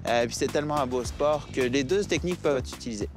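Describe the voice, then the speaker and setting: A man's voice: medium pitch, fast, music in the background. A man with a Québécois French accent explaining cross-country skis and the course with enthusiasm outdoors. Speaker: cross-country ski expert. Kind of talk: instructional explanation. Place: snowy park outdoors.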